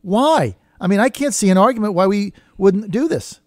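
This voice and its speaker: A man's voice: high-pitched, animated, moderate pace, darkish tone, dry recording, studio quality. A man hosting a political talk radio show, plain-spoken and opinionated, talking in short punchy sentences. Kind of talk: conversational political radio interview.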